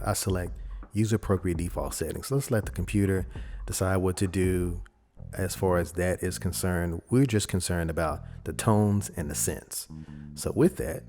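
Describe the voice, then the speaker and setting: Low-voiced man giving a casual software tutorial. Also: a little hiss or hum. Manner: informal, explanatory, thinking aloud. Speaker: audio editing tutorial presenter.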